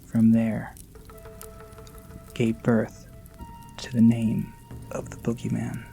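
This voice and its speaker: An ominous teller of dark folklore, acted by a man, mellow and level in pitch. The delivery is menacing and scripted, dropping toward a hush, like a horror podcast warning. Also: completely flat delivery, slow pace, creaky, soft background music. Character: ominous storyteller warning of a child-eating bogeyman. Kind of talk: spooky scripted legend narration.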